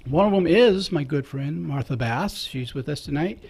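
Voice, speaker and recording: A man's voice: on the high side, lively intonation, normal speed, creaky, a little mellow, a little hiss or hum. A man, a priest, hosting a radio talk show in a casual, jokey way, chuckling between remarks.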